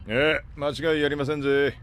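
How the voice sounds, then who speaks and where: An actor playing a gruff, grumbling freighter captain in a Japanese audio drama, with rough, slangy, irritable delivery. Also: male voice, fairly high pitch, little pitch movement, moderate pace, background noise, close to an everyday voice. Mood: formal.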